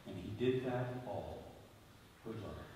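A man preaching a homily as a bishop, solemn and deliberate, speaking phrase by phrase with emphatic stresses in a large church. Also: lowish voice, animated, mellow. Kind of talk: sermon. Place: large stone-walled church, at the ambo before a congregation. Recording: very echoey church, distant mic, faint noise.

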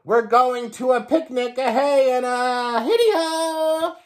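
A man's voice: very high-pitched, slow, a little hiss or hum, pitched above the performer's own voice. A man voicing hungry marching ants from a storybook in a sing-song rhyming chant. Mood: eager chanting.

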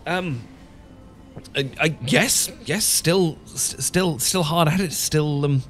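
A man's voice: on the high side, takes it slowly, fairly bright tone, soft background music. A man with a British accent playing an awkward, sentimental English professor in a romantic ASMR roleplay, stammering and hesitant. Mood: flustered, sheepish. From Britain. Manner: stammering, hesitant, full of false starts. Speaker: audio roleplay performer.

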